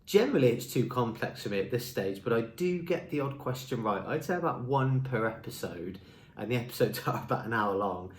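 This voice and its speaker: A man's voice: medium pitch, expressive, brisk, roomy sound. A young man and native English speaker, chatting in an upbeat way as he presents a talking-head vlog. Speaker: language school marketing presenter. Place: indoor room with a screen behind him.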